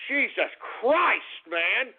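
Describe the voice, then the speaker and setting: A man's voice: very high voice, big pitch swings, extremely slow, slightly rough. A male talk radio host ranting angrily and profanely at callers. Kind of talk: call-in talk radio rant. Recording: tinny lo-fi radio audio.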